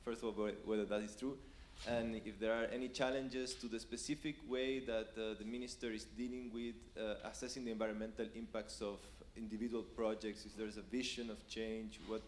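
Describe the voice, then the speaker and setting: A man's voice: on the low side, level tone, fairly quick, few pauses. A man with a Chilean accent and Spanish as his first language asks a question from an audience, hesitantly, repeating words as he searches for them. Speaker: audience member asking a question. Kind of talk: spoken question at a seminar. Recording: roomy echo, faint room noise.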